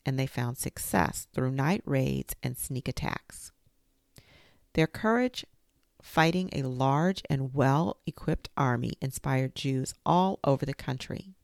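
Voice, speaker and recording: A woman with an unusually deep voice reads a children's history book aloud as the host of a storytime show. Her tone is gentle and careful, with a gravelly edge. The recording is close and dry, with no room sound. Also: dry recording. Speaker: storytime reader.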